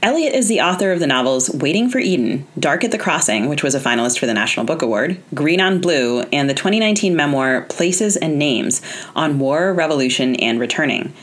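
A woman co-hosting a podcast, reading guest introductions in a crisp, listing delivery. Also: sits low, expressive, a little fast. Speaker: podcast co-host.

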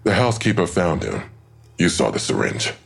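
An actor playing a terse, matter-of-fact police detective in an audio drama. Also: male voice, low-pitched, big pitch swings, normal speed, vocal fry, husky, full character voice. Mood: terse, serious. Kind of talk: full-cast audio drama acting.

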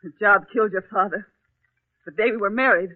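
A woman's voice: medium pitch, a little fast, natural voice. An anxious middle-aged wife and mother played by an actress in a 1940s radio drama. She pleads in plain, emotional, earnest working-class speech. The recording is thin and narrow, like an old broadcast. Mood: worried, insistent.

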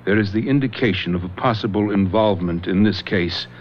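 Actor playing a calm, shrewd police lieutenant. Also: male voice, lowish voice, fairly quick, natural voice. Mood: probing. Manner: calm, probing and authoritative. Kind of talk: 1970s radio drama acting. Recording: dull old radio broadcast recording, faint hiss.

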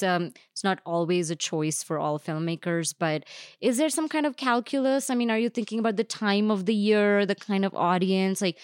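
A woman's voice: on the low side, no echo, studio quality. A woman hosting a conversational podcast interview, with a playful, teasing manner. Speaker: podcast host interviewing filmmakers.

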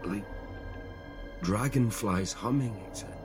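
An actor playing a haunted, overwhelmed young man with strange powers in an audio drama, halting and intense. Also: lowish voice. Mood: strained awe. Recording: ominous music under the voice. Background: scary music.

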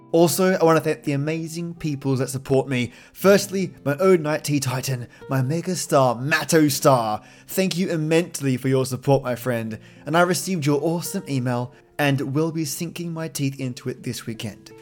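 A man hosting a podcast, speaking in a colloquial, effusive, matey way. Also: medium pitch. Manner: effusive, with slangy matey address. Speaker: podcast host. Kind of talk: friendly, colloquial podcast outro. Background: plucked guitar music.

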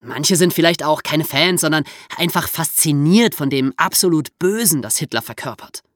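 A man's voice: fairly high pitch, moderate pace, a bit bright, no echo, studio quality. A man co-hosting a podcast, explaining history in a conversational storytelling manner. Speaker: podcast host.

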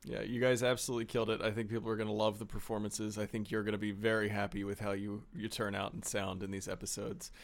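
A man hosting a casual behind-the-scenes chat, loose and full of fillers. His voice is low with a heavy gravelly fry. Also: fast, hardly any pauses. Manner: relaxed, filler-heavy, laughs often. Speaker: podcast producer and host.

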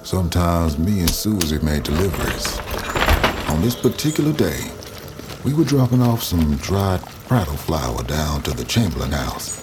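A man's voice: low-pitched, very animated, normal speed, background noise. An actor playing a rural Southern US man, a weary storyteller narrating his past in an audio drama. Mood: wry recollection. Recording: music behind the voice.

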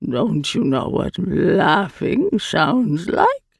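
A woman voicing a stern, guarded creature in an audiobook story, with drawn-out, deliberate speech. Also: on the low side, wildly swooping pitch, vocal fry, husky, dry recording, studio quality, heavily acted. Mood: dryly amused. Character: the Eye, floating many-armed creature, slow, stern, guarded.

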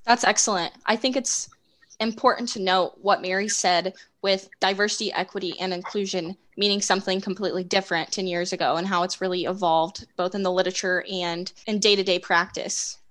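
A woman's voice: mid-range voice, fairly even intonation, fairly quick, a bit bright. A woman interviewing a guest, asking questions in an encouraging, polished way.

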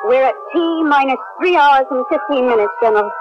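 A woman's voice: sits high, a little fast, loud music behind the voice, natural voice. A woman acting a questioning military major in a 1970s radio drama, polite and probing. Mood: businesslike. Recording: dull 1970s radio recording.

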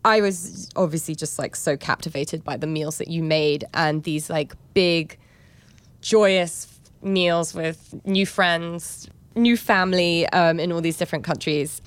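A woman's voice: lowish voice, unhurried, smooth, no echo. A woman co-hosting an interview, asking questions in a playful, friendly way.

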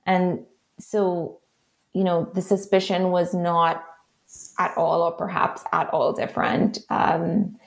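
A woman with a Trinidadian accent, an author answering interview questions in a thoughtful, measured way. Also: fairly low pitch, level tone, gravelly. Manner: thoughtful, measured, frequent "right?" and "um". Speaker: author and researcher, interview guest.

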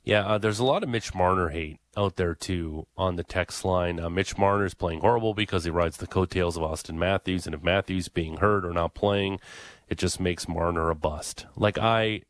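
A man's voice: sits low, normal speed, dry recording. A man hosting a sports radio show, talking casually and with opinions, full of fillers and restarts, on a studio mic.

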